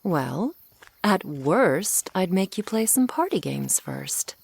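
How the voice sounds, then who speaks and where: A woman playing a teasing, menacing century-old female vampire in an intimate ASMR roleplay, sardonic and unhurried, sometimes slipping toward a whisper. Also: lowish voice, sing-song, creaky, a little mellow, breathy edge, full character voice. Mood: playful boasting.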